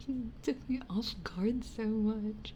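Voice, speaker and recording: Whispering woman in a slow ASMR chat. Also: fairly high pitch, mellow. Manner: chatty, giggly, blunt, swears freely. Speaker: streamer. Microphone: close binaural ASMR microphone.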